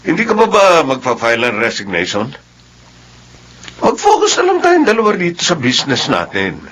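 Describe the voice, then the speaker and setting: A man's voice: mid-range voice, wildly swooping pitch, normal speed, fairly bright tone. A man playing an ambitious, boastful middle-aged husband with a Filipino accent in a drama. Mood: eager, ambitious. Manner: boastful, eager.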